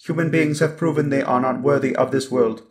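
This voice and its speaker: A man voicing a coldly superior, menacing robot overlord in a roleplay monologue, with deliberate delivery and stuttering glitches on words. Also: mid-range voice, steady tone, natural voice. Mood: calm, superior.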